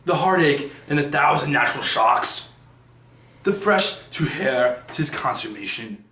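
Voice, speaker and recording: Male, amateur player as despairing young prince in stage tragedy, slow halting verse recitation, echoey room. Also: medium pitch, lively intonation, sharp tone. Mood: brooding. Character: brooding young tragic prince, contemplative and despairing. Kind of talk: halting recited Shakespeare soliloquy.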